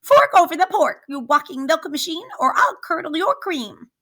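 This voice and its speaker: A woman's voice: extremely high pitch, big pitch swings, normal speed, sharp tone, pitched above the performer's own voice, full character voice. A woman voicing an irascible, scheming storybook witch, bossy and insulting, with a screechy voice. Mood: furious, screeching. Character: irascible, greedy, scheming witch, shrieking when thwarted. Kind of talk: animated storybook character voice.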